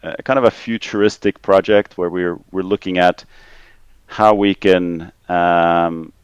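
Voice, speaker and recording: A man's voice: sits low, fairly even intonation, unhurried, smooth, dry recording, lo-fi sound. A middle-aged man from Finland, a podcast guest, talking casually and thoughtfully, often repeating words as he finds his phrasing. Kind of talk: casual interview conversation. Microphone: remote call line.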